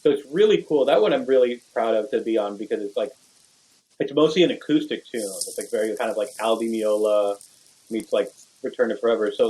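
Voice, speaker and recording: A man's voice: fairly low pitch, a little mellow, rough recording. Laid-back man, rambling casual talk. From Venezuela. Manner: rambling, full of "like" and "you know". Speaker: guest bass player. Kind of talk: casual podcast interview.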